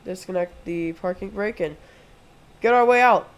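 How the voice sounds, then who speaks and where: A man's voice: sits high, normal speed, clear, resonant tone, low-quality recording. A congested young man, sick with a throat infection, streaming from home and chatting through each step of a flight simulator session at his desk mic. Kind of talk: casual live play-by-play.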